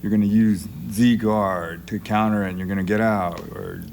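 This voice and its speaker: Deep gravelly-voiced man, hummy recording. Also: moderate pace, faint music behind. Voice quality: deep voice that does not carry. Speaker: jiu-jitsu coach. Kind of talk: podcast interview guest. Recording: steady background hum.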